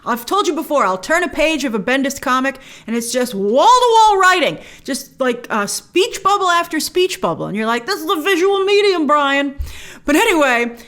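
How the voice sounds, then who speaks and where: A woman with a high voice delivers opinionated review commentary as a show host. Her pitch swings widely and she leans hard on key words. She addresses her viewers directly. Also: sing-song, moderate pace, a bit bright. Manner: emphatic, stressing key words.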